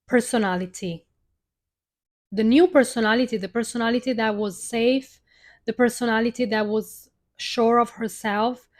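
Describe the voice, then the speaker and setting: A young woman speaks English with an Italian accent, her voice sitting a little high. She talks earnestly and unscripted, circling back over phrases and slowing down to lean on key words. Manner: earnest, repeats and restarts phrases, slows to stress words. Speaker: live-stream host. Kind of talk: personal storytelling monologue.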